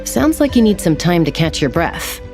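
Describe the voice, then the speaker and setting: A woman voicing a gentle, hospitable young tribeswoman in a video game. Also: on the low side, big pitch swings, normal speed. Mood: calm. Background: game soundtrack music.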